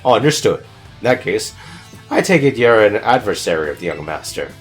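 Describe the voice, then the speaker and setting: Guy doing a posh-mannered gentleman tutor from a game, super polite and formal, bit of music under it. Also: fairly low pitch, very animated, moderate pace. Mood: courteous. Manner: polite, stiffly formal wording. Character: courteous, formal gentleman tutor, elderly butler type.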